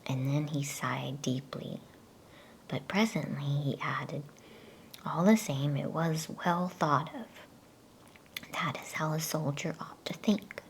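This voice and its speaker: A woman speaking in a breathy, soothing, unhurried whisper, as in an ASMR bedtime reading. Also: low voice, steady tone, a little hiss or hum. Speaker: ASMR bedtime story reader. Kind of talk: whispered ASMR reading aloud of a children's novel.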